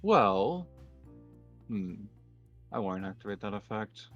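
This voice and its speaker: A man talks slowly and with understatement, casually working through his turns as a player in a roleplay card game. The recording is dull and lo-fi, with faint music behind. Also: lowish voice, very animated, a bit bright. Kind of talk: casual gameplay talk in a roleplay duel.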